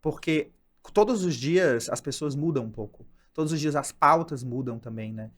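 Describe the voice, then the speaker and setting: A chatty man from Ceará with a Northeastern Brazilian accent hosts an interview in an easygoing, conversational way. His voice sits a little high for a man, and he leans on "né?" as he thinks aloud. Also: animated, moderate pace. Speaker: podcast host.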